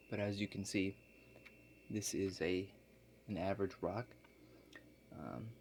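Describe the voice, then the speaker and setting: Man performing as easygoing building contractor, deep register, ASMR role play, matter-of-fact, halting delivery, slight background noise. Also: a little mellow. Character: Chuck, a laid-back male construction contractor.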